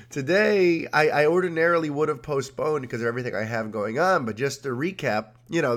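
A man hosting a podcast in a casual, rambling monologue, his voice nasal and congested from a cold. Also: medium pitch. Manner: chatty, rambling, energetic. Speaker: podcast host.

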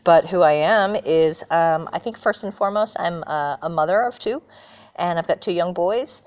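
A middle-aged American woman from California, answering interview questions earnestly and thoughtfully, pausing often with fillers. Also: lowish voice. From California, USA. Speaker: guest. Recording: dull lo-fi remote audio.

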